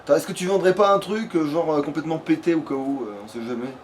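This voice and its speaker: A man's voice: a little high, fast talker. Slangy man gaming, noisy recording. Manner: slangy, sweary. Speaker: let's player. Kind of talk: casual gameplay commentary, chatting with a partner. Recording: clearly noisy home recording.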